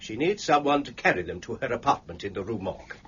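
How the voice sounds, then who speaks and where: An actor playing an obliging, ingratiating bank manager in a period radio drama, speaking formally. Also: male voice, mid-range voice, sing-song, fast, a bit bright, slightly rough, highs cut off, heavily acted. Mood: obliging, brisk. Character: smooth, obliging old-fashioned bank manager.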